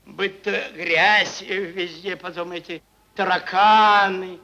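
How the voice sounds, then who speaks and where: A man's voice: high-pitched, steady tone, slow pace, sharp tone, dull audio, exaggerated voice. An actor playing a crude, mocking merchant boss who rambles and repeats his words in a theatrical stage style. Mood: mocking indignation. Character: crude, drunken, bullying male merchant boss, cynical and rambling. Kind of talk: theatrical stage acting, Russian classic prose.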